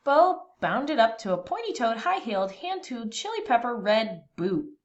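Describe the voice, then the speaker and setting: A woman reading a children's storybook aloud expressively, with dramatic, deliberate pauses between phrases. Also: on the high side, very animated, muffled recording.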